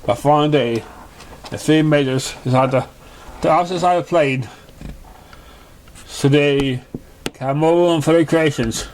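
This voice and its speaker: A man reads fantasy fiction aloud as narrator, at a slow pace. His voice sits slightly high for a man, and his amateur delivery is halting, with stumbles over words. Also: darkish tone, a little hiss or hum. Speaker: story narrator.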